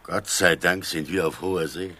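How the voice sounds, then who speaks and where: An actor playing a weary, disgruntled convict sailor in a satirical radio play. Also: male voice, deep voice, normal speed, pushed and bright.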